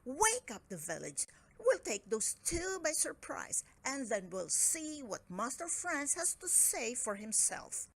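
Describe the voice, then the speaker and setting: A woman voicing an excitable storybook gnome leader with a rousing, excited manner. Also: high-pitched, slow pace, breathy edge, pitched above the performer's own voice, heavily acted, low-quality recording.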